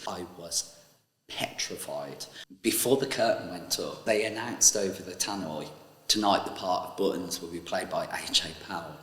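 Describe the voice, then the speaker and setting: Man, very low voice, earnest anecdote; echoey reverberant sound. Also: fairly bright tone. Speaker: interviewee, actor and dancer. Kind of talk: anecdotal interview.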